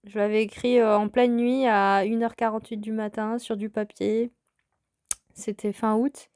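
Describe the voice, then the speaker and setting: A woman in her thirties, from France, hosting her own podcast and telling a personal story in a calm, reflective way. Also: fairly high pitch, moderate pace, smooth, no room sound, clean studio sound. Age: 30s. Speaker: podcast host.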